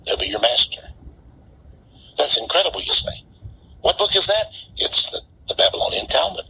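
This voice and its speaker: Animated, sharp-voiced preaching man. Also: a little high, moderate pace, gravelly, a little hiss or hum, poor audio. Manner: emphatic, repeats words and acts out quotes. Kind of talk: impassioned sermon-like monologue to listeners.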